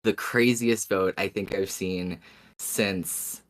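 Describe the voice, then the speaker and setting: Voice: low-pitched, fairly even intonation, slow pace, poor audio. A podcast co-host talking in a casual, conversational style with emphatic phrasing.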